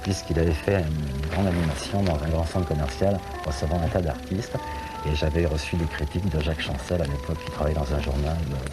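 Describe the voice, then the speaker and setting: A man's voice: rumbling low, steady tone, fairly quick, music in the background, poor audio. A middle-aged man from France, a singer guest on a TV show, telling anecdotes in a rambling way with many hesitations. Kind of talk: chatty interview talk.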